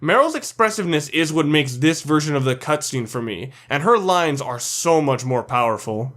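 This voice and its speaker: A man narrates a scripted countdown with a slightly high voice. His delivery is blunt and opinionated, like someone laying out a personal ranking. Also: animated, moderate pace, fairly bright tone. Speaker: narrator of a video game ranking list.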